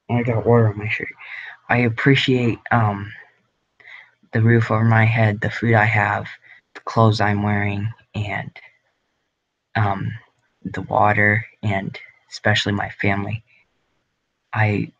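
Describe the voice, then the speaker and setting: A man's voice: on the low side, fairly bright tone, lo-fi sound. A young man hosting a casual livestream from his home grow room, rambling with lots of ums and likes. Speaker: livestream host.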